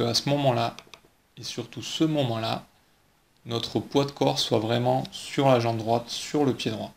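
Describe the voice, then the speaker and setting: A man's voice: mid-range voice, vocal fry. Patient male ski coach explaining technique. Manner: patient, explains step by step with rhetorical questions. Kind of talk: instructional voice-over over slow-motion ski footage.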